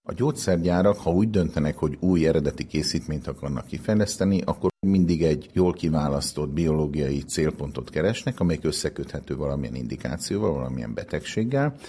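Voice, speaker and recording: A man with a very low, dark voice explains scientific work in an interview. His sound is husky and mellow, and he talks in long sentences, restating terms to make them clear. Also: expressive, hardly any pauses. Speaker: pharmaceutical research director being interviewed.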